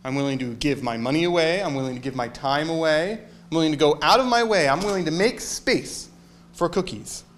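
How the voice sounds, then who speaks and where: A man's voice: a little high, brisk, a bit bright. Joking man preaching to a congregation. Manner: joking, quizzes the audience. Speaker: minister. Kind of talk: casual sermon to a congregation.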